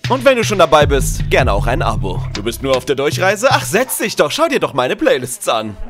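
Youtube guy doing his scripted outro, upbeat, highish lively voice, music under. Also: fairly quick. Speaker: video creator, channel host.

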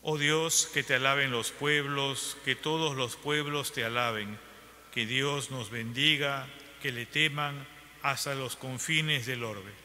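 A man reading scripture aloud at Mass as lector, in a measured, solemn way. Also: medium pitch, fairly even intonation, sharp tone, slight background noise. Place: large church. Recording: church broadcast with long reverb.

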